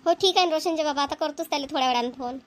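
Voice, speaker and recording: A woman's voice: high-pitched, very fast, lightly acted. A woman voicing a patient village mother. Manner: rural Marathi dialect, patient, agreeable. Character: village mother, patient, homely, dutiful wife. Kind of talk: cartoon voice acting.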